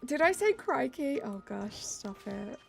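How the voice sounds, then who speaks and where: A woman's voice: high-pitched, sing-song, a little slow, vocal fry. A British woman streaming a game, chatting casually and reading out her viewers' messages in a bubbly way. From Britain. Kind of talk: casual live-stream chat, reading chat aloud. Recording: light music behind the voice.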